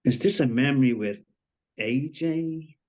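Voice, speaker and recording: A man's voice: medium pitch, measured pace, darkish tone, lightly acted. A man playing a cocky, foul-mouthed wisecracker in a live script reading. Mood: teasing. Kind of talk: live screenplay table read acting. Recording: dull lo-fi mic.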